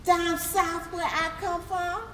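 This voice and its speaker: A woman's voice: very high voice, level tone, slow, noisy background. A woman on stage playing a young Southern child, reciting in a plaintive, questioning way. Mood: plaintive, innocent. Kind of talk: theatrical poetry recitation on stage. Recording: roomy hall with long reverb.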